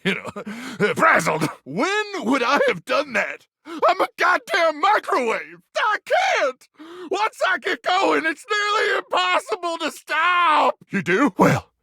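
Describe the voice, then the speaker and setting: A man voicing a frazzled, paranoid soldier microwave in a video game, gravelly and intense, full of awkward military bluster. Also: sits high, bright, forceful tone, no echo, clean studio sound, heavily acted. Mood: apologetic, frazzled, then frantic, threatening. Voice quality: gravelly, raspy. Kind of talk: animated video game voice acting.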